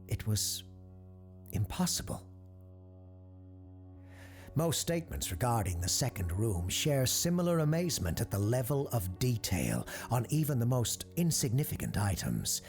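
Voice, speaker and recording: A man acting as the grave, measured narrator of an eerie audio drama about a haunted attraction. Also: mid-range voice, sing-song, a little mellow, faint music behind. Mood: wistful, unsettled. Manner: measured, deliberate, storytelling.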